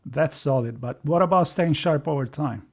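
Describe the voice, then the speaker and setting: A man with a slightly high voice reads a sponsor message for a podcast. His delivery is scripted yet conversational. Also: normal speed. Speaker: podcast co-presenter reading a sponsor spot.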